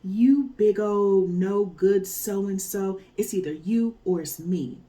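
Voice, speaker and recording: A woman voicing a proud, hot-tempered male lion with a gruff, blustering manner. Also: medium pitch, slow pace, warm tone, natural voice.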